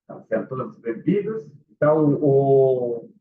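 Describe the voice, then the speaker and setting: A man's voice: medium pitch, huge pitch swings, a little slow. A man from Chile with a Chilean Spanish accent, speaking Portuguese as an instructor, giving a casual live lecture and asking his small audience questions. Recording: echoey room, phone-like sound.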